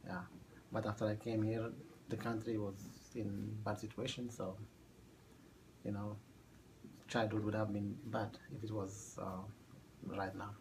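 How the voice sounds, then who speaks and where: Gravelly low-voiced man, halting speech. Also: completely flat delivery, background noise. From Ethiopia. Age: 30s. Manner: halting, many fillers and restarts. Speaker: math teacher. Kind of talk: oral history interview.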